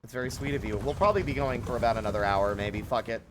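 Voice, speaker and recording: A man's voice: mid-range voice, quick pace, noisy background. A man livestreaming a game, chatting casually with playful, self-interrupting, sweary commentary.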